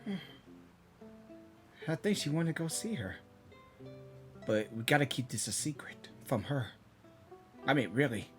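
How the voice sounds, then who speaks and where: A woman reacting to a game, murmuring along and breaking into sudden excited outbursts. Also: rumbling low, unhurried, pauses often, soft background music. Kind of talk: live reaction commentary.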